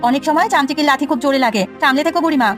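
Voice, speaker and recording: A woman's voice: high-pitched, rapid-fire, faint music behind, close to an everyday voice. A woman voicing a simple younger village woman in a cartoon folk tale, pleading and questioning. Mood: needling.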